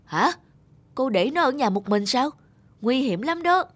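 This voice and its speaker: A woman's voice: high-pitched, expressive, measured pace, a bit bright, husky, full character voice. Blunt middle-aged cartoon neighbour woman. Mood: alarmed. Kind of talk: cartoon voice acting.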